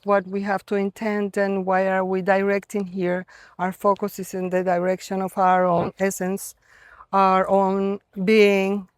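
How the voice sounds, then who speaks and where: A middle-aged woman from Colombia with a Colombian Spanish accent, giving an earnest, reflective spiritual talk to a gathering, with many pauses and fillers. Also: medium pitch, clean tone, no echo. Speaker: gathering organizer.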